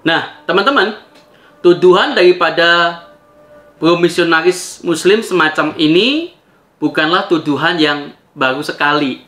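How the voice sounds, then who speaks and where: A man with a fairly high voice. His tone is bright and sharp. He hosts a commentary video, talking directly to viewers and putting questions to them in a conversational way. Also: a little slow, pauses often, soft background music. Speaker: video host. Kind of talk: spoken rebuttal commentary to viewers.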